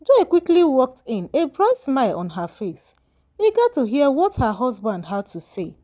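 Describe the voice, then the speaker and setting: A woman's voice: high-pitched, huge pitch swings, moderate pace, dark tone. A woman narrating a scripted folktale as a storyteller, with dramatic, emphatic delivery.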